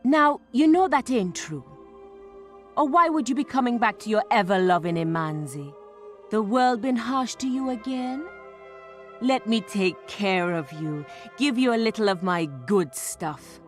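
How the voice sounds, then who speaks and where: A woman's voice: fairly high pitch, expressive, measured pace, faint music behind. A woman playing a flirtatious, doting warrior woman, teasing and seductive, in a video game cutscene.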